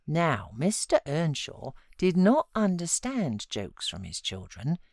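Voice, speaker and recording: Low, very animated British woman narrating. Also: takes it slowly, no room sound, clean studio sound. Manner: expressive, dramatic storytelling. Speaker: audiobook narrator, voiceover artist. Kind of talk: scripted narration of a classic novel.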